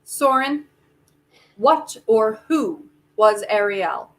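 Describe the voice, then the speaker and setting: Woman as slow, insistent, defiant inventor. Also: fairly high pitch. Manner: insistent, dramatic pauses, emphatic repetition. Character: defiant, grieving inventor bargaining stubbornly with Death. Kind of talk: staged reading of a stage play.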